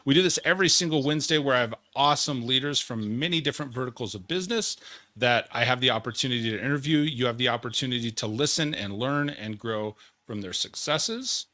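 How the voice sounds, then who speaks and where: An upbeat man hosts a leadership interview with bright, forceful energy. His voice is close and dry, with no room sound, and he talks eagerly, often doubling words. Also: mid-range voice, fast talker, no echo. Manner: enthusiastic, energetic, repeats words. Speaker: podcast host.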